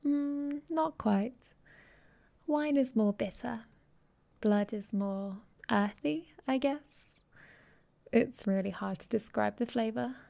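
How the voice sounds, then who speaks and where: A woman playing a dominant, affectionate vampire girlfriend in an intimate ASMR roleplay, speaking softly and tenderly, often in a breathy whisper, close to the mic. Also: on the high side. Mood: playful, musing. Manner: slow, tender, teasing. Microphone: close microphone.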